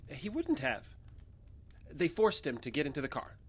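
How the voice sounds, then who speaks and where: An actress playing a guarded, evasive wounded character who hides the truth with hesitant speech in an audio drama. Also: female voice, deep voice, very animated, moderate pace, slight background noise. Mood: guarded, earnest. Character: guarded, evasive wounded alien passing as human. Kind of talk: naturalistic audio drama acting.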